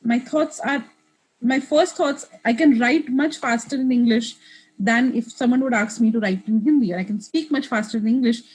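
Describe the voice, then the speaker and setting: A woman's voice: on the high side, moderate pace, a little mellow, husky, poor audio. A woman in her thirties, a guest in an interview, talking in a reflective way with many restarts and asides. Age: 30s. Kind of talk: casual interview conversation.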